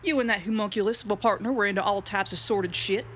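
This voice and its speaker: A tough, sardonic female deputy in an audio comedy, played by a woman. She talks very fast in a clipped, scornful interrogating manner. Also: medium pitch, fairly bright tone, a little hiss or hum, close to an everyday voice. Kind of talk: comic audio drama acting.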